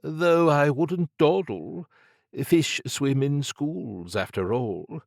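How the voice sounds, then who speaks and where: A man voicing an urbane, condescending, androgynous occult agent for an audiobook, in a languid purr with drawn-out phrasing. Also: fairly high pitch, lively intonation, vocal fry, dry recording, clean studio sound, voice pushed up, exaggerated voice. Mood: smug, advising. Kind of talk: audiobook character acting.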